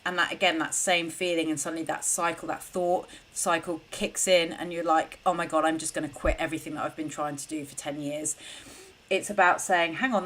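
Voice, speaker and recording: A woman's voice: deep voice, a bit bright. A young adult woman, hosting a solo podcast and giving earnest, conversational advice with frequent fillers. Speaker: podcast host and coach.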